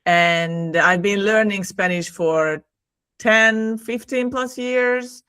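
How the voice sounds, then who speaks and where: A woman's voice: mid-range voice, slow pace, sharp tone, smooth, no echo, clean studio sound. A woman with a Hungarian accent, a native Hungarian speaker, chatting enthusiastically as a podcast guest over a video call, laughing often and repeating words. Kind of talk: casual conversation.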